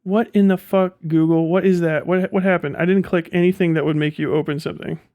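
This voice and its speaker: A man's voice: sits high, steady tone, fairly quick, darkish tone. A man hosting a livestream, reacting off the cuff in a casual, rambling, sweary way. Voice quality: frequent swearing, rambling way of talking. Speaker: livestream host reacting to videos. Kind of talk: casual unscripted commentary.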